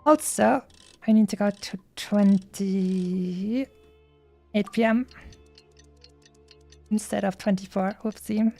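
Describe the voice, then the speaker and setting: A woman's voice: medium pitch, slow pace, vocal fry, pauses often, dark tone. A woman game streamer chatting casually in a rambling way with playful, cutesy wording. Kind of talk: casual livestream chat over gameplay. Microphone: streaming microphone. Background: faint game music.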